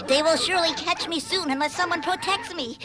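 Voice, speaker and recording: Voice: extremely high pitch, a little fast. A performer voicing a frantic, frightened hare in a cartoon fable, pleading urgently. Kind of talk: animated fable dialogue.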